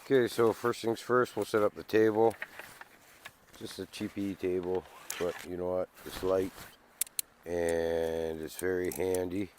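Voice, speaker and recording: A man's voice: low voice, fairly even intonation, unhurried, darkish tone. A man with a congested voice from a cold, chatting casually to camera in the woods while he sets up camp gear. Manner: rambling, easygoing, thinks aloud. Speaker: outdoor vlogger. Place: outdoors in the woods.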